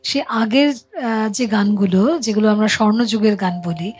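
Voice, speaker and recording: A woman's voice: mid-range voice, normal speed, a little mellow, husky. A middle-aged woman, hosting a casual chat. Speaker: talk show host.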